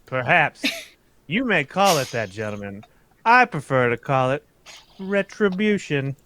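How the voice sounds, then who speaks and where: A man playing a pompous, excitable, florid theatrical gentleman in 1940s radio serial style. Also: a little high, unhurried, slight background noise, exaggerated voice. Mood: grandiose.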